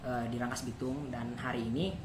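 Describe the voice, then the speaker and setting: A man in his early twenties from Banten, Indonesia, a native Sundanese speaker, talking haltingly as a student interview guest. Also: medium pitch, steady tone. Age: early 20s. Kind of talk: halting self-introduction and explanation. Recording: echoey room, loud background noise.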